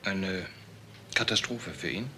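An actor playing a suspicious, sardonic police detective in a radio crime drama, asking pointed, persistent questions. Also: male voice, low-pitched, slow pace, bright, forceful tone, full character voice. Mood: cool, pressing. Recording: faint background noise.

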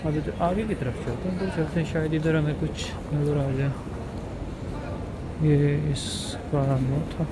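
A man's voice: on the high side, steady tone. Pakistani-accented guy doing a walk-and-talk travel vlog, rambly with lots of uhs, heavy traffic noise behind him. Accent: Pakistani Urdu. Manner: chatty, loose, pauses often with fillers. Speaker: travel vlogger. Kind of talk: casual walking tour vlog. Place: outdoors at a large urban complex. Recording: loud outdoor noise behind voice. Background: loud urban street noise and traffic.

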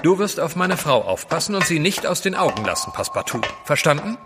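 An actor playing a courteous gentleman adventurer in an audio drama, speaking politely and formally. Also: fairly high pitch, big pitch swings, brisk, in a large hall, music in the background. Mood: tender, firm. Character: courteous gentleman adventurer, devoted husband, keen observer. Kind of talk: German audio drama acting.

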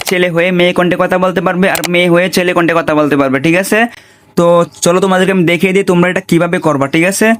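A man giving an informal step-by-step tutorial, often checking in with repeated tags. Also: high-pitched. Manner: repetitive, with frequent check-in tags. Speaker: gaming tutorial creator.

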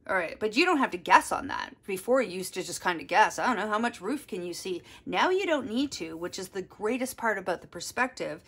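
A woman's voice: medium pitch, a bit bright, breathy edge. A woman art teacher giving a step-by-step drawing lesson, explaining as she works and thinking aloud. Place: desk, overhead camera on drawing.

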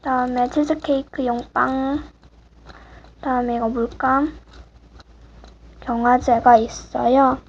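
A teenage girl softly showing off swap items for viewers, her voice a little rough and her manner apologetic. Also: high-pitched, little pitch movement, slow pace, pauses often. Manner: soft-spoken, apologetic, hushed at times. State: voice a bit rough. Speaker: vlogger. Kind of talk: casual show-and-tell of swap items. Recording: muffled home recording with clear background hiss.

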